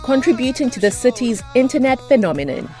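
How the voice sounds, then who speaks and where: Woman, news reporter, scripted narration, animated delivery, faint music. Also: on the high side, fast. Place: outdoors in a snowy city.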